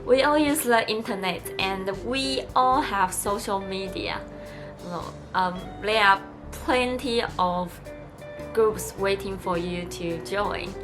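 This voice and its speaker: Slow-talking chatty young woman vlogger. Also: mid-range voice. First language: Mandarin Chinese. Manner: chatty, encouraging, lots of fillers. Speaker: travel vlogger. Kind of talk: casual vlog talk to camera. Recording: music playing behind.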